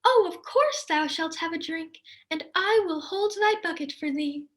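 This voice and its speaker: Lady doing a super sweet prim maiden voice, way up high, all thee and thou. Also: lively intonation, normal speed, fairly bright tone. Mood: polite. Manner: prim and earnest, with old-fashioned phrasing. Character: sweet, kind young maiden speaking mock-Shakespearean.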